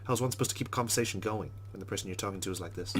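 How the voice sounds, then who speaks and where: A man's voice: fairly low pitch, racing, slight background noise. A man who hosts a let's play, reading story narration aloud in a wry, conversational way with casual asides.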